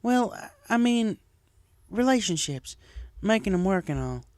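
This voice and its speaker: A woman voicing an earnest, plain-spoken working farm pony who talks in a halting, folksy way in a story. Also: medium pitch, big pitch swings, a little mellow, dry recording, clean studio sound, pitched above the performer's own voice. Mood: hesitant. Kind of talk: fan fiction character dialogue. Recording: music behind the voice.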